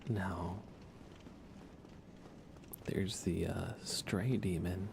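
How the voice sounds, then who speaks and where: Soft-spoken man with a low, creaky voice. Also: steady tone, drawn-out words, noisy background. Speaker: live streamer. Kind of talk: soft-spoken ASMR game commentary.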